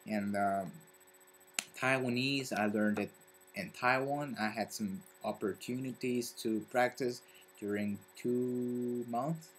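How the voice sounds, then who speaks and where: A young man from Belgium with a French accent, talking casually to camera in a halting way and searching for his words. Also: medium pitch, fairly even intonation, slight background noise, rough recording. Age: young adult. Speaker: vlogger. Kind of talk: casual vlog talk to camera.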